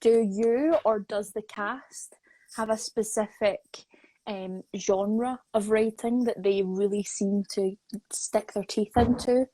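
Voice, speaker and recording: A woman's voice: mid-range voice, steady tone, slow, dark tone, dry recording, rough recording. Woman hosting, close dry sound. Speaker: interview host. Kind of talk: casual live interview. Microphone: video call.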